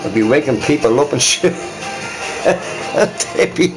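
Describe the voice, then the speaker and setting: A man's voice: mid-range voice, animated, slow pace, music in the background, poor audio. A man streaming on his own, chatting casually in a rambling, profane way and laughing often. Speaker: livestreamer. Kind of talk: rambling solo stream chat.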